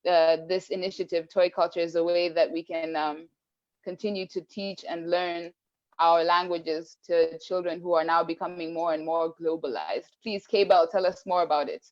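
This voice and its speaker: A woman from Kenya hosting a casual online panel, reflective, with pauses as she thinks. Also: fairly low pitch, steady tone, darkish tone, lo-fi sound. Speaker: host.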